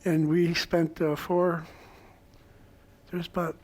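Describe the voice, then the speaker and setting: A man with a soft voice recalling his past as a panelist at a college alumni roundtable. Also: on the high side, flat delivery, slow. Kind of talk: personal recollection on a panel. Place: college event room.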